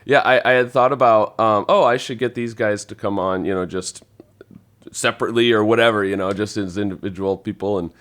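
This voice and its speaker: Casting: male podcast host, somewhat low voice, easygoing chatty delivery. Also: a little fast, fairly bright tone. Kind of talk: casual chat.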